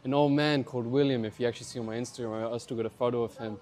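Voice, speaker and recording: A man's voice: mid-range voice, steady tone, racing, a little mellow. A young man with a New Zealand accent casually telling his own story in an informal vlog, addressing his viewers. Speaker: language-learning vlogger.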